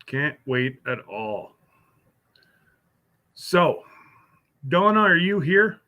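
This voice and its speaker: A man's voice: fairly high pitch, very animated, very slow, highs cut off. A man hosting a casual live stream, rambling along as he answers viewers by name, with many fillers and restarts. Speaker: livestream host.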